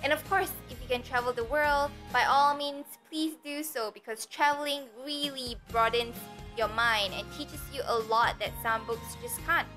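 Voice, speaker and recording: A woman's voice: high-pitched, moderate pace, bright, forceful tone, faint music behind. A young woman with a Malaysian accent, chatting casually and enthusiastically as she introduces herself on video. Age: young adult. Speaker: pageant contestant.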